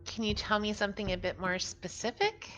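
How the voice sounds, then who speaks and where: A woman's voice: mid-range voice, big pitch swings, fairly quick, a bit bright. A woman co-playing on a livestream, chatting casually and reading game dialogue aloud. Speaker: livestream co-player. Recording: music behind the voice.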